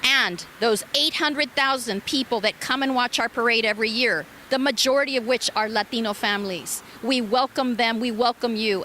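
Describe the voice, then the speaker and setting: A middle-aged woman from Argentina speaks with a bright, clear voice in a recorded address. She sounds earnest and proud and slows down on her key phrases. Faint background noise sits under her voice. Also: fairly high pitch, rough recording. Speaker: parade association president.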